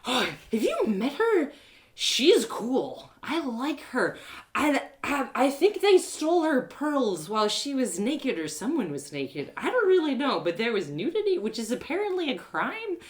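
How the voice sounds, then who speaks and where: A woman acts out a naive young heroine, tipsy and eager, in a storybook audio drama. She rambles excitedly, stretching words, and her pitch swings about in a very animated way. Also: a little slow. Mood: tipsy, rambling.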